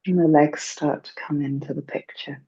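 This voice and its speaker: Female meditation teacher. Soft-toned, husky delivery with some fry, soothing and unhurried. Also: low voice, fairly even intonation. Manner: gentle, hushed, unhurried phrasing. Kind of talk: slow, soothing guided meditation. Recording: dull, muffled lo-fi recording.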